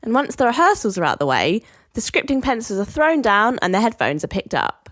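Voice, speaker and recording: A woman's voice: fairly high pitch, sing-song, fairly quick, a bit bright, dry recording. A woman co-hosting a podcast in a scripted presenting style. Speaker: podcast co-host.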